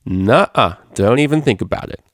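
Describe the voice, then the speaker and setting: A man's voice: mid-range voice, expressive, normal speed, dry recording, clean studio sound, pitched above the performer's own voice, close to an everyday voice. A man voicing a cocky, teasing young female unicorn DJ with a brash, slangy, playful manner in a story reading. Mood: mock stern.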